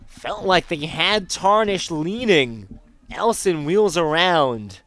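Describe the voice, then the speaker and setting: A man's voice: sits high, lively intonation, takes it slowly, fairly bright tone, no echo. A man calling live baseball play-by-play as the announcer of a sports broadcast.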